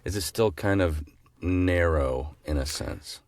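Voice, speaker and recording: A man's voice: sits low, slow pace, no echo, studio quality. An American man co-hosting a casual podcast chat in a playful, teasing manner. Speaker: podcast co-host.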